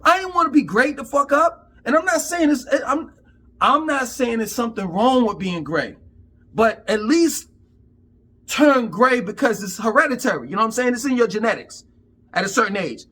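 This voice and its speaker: A man's voice: very high voice, expressive, moderate pace, sharp tone. A man in his fifties giving an emphatic, profane, preachy rant to camera in street talk. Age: 50s. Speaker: vlogger.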